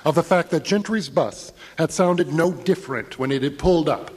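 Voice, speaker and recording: An actor playing an anxious, haunted traveller, narrating a horror radio drama in literary, ominous phrases. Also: male voice, on the high side, a little mellow, close to an everyday voice. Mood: uneasy dread. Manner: literary, measured, ominous, urgent under stress. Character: anxious, haunted traveller recounting his ordeal.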